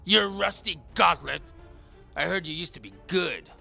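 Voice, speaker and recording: A man's voice: high voice, a little slow, vocal fry, sharp tone, soft background music, voice pushed up, exaggerated voice. A man voicing a loutish, boastful mercenary knight in an audio drama, with a thick put-on accent and a resonant voice muffled inside a helmet. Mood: taunting, menacing. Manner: thuggish, taunting, pompous. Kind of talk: audio drama character acting.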